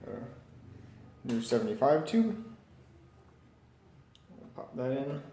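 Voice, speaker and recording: A man's voice: medium pitch, sing-song, takes it slowly, mellow. A man casually narrating a hands-on repair, tentative and thinking aloud as he works. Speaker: hobbyist radio repairer presenting. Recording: roomy echo with faint background noise.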